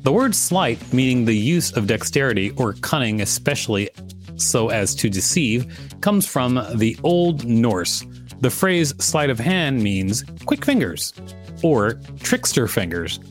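A man's voice: medium pitch, very animated, measured pace, soft background music. A man co-hosting a podcast, reading encyclopedia text and bantering casually. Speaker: podcast host.

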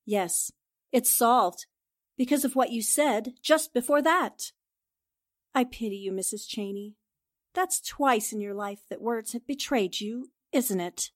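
A woman acts out a sharp female newspaper sleuth from a forties-style radio play. Her voice sits fairly high, and she lays out accusations with deliberate, confident assertion. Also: lightly acted. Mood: pointed, knowing. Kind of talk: radio drama acting in 1940s style.

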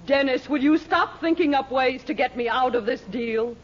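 An actress playing a haughty, bossy, ambitious wife, imperious and sharp-tongued, in a 1940s radio sitcom. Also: female voice, sits high, normal speed. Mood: bossy, indignant. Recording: muffled, hissy 1940s radio recording.

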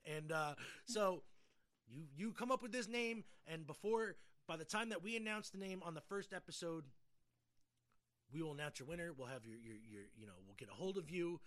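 A man's voice: on the high side, animated, moderate pace, a bit bright. A middle-aged man hosting a podcast in casual chat, rambling and often repeating his words. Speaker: podcast host.

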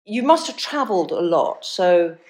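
Chatty middle-aged woman host, lowish voice. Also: big pitch swings, moderate pace, darkish tone. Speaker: podcast host. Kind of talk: casual interview chat.

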